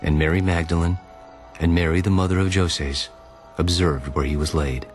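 Very deep-voiced male scripture narrator. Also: fairly even intonation, moderate pace. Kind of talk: solemn dramatized scripture narration. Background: music.

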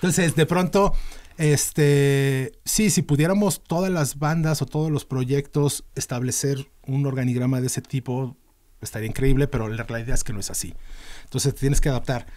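A middle-aged man with a Mexican accent talks at an ordinary pitch, explaining things at length and filling gaps with fillers and restarts. He speaks into a studio mic in a small podcast studio. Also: fairly even intonation, moderate pace, a little mellow. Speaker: band manager, podcast guest. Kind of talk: casual interview talk. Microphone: studio mic on a boom arm.

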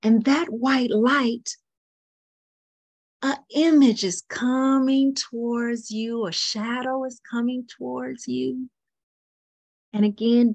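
A woman leading a guided meditation, speaking softly and repeating phrases, often dropping into a whisper. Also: sits high, gravelly, no echo. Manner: slow soothing guidance with soft whispered repetitions.

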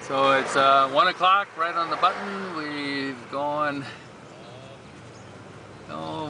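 A man's voice: mid-range voice, vocal fry. Man narrating from a noisy car. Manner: rambling, thinking aloud, checking times and miles. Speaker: support driver narrating a charity bike ride. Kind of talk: casual running commentary from a car. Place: inside a moving car. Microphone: dashboard camera mic. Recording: distant voice, loud road noise.

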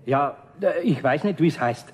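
An actor playing a good-natured older master carpenter in a radio play, gruff but kindly and plain-spoken. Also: male voice, on the high side, expressive, a little slow. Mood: awkward. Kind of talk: 1970s radio play acting.

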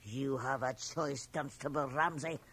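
A middle-aged woman playing a stern, domineering, scolding small-town mother with a husky voice in a radio drama. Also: a bass voice, a little slow, a bit bright, full character voice. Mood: stern, appalled. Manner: scolding, imperious. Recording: 1980 radio drama from home cassette transfer.

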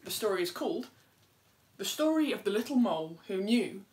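Young adult woman, children's storyteller, expressive read-aloud with very animated intonation. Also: medium pitch, fairly quick, low-quality recording. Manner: expressive, acts out dialogue. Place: plain indoor room at home.

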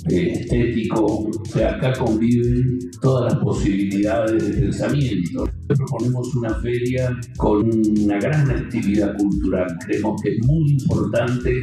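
A man's voice: on the low side, measured pace. A man, an organizer, promoting a book fair in an emphatic interview soundbite. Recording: very echoey distant hall sound with music.

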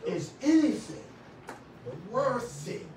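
A middle-aged man preaching a sermon in a small church, swinging from shouts to quiet talk with many restarts. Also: extremely high pitch, big pitch swings, slow, a little hiss or hum. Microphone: far camera. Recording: echoey room, far from the camera.

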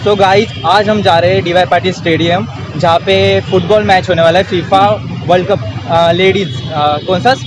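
A man's voice: sits high, fairly even intonation, moderate pace, hardly any pauses, noisy background. A young Indian man with an Indian accent, vlogging casually to a phone held at arm's length as he walks outdoors. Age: young adult. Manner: chatty, talks straight to a handheld camera while walking. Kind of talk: casual walking vlog. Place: outdoors on city streets and inside a college building.

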